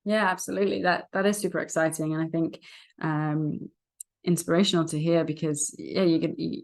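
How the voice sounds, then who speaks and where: A woman hosting an interview, affirming her guest and talking loosely with halting restarts. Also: sits low, gravelly. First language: English. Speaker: podcast host. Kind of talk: casual interview talk.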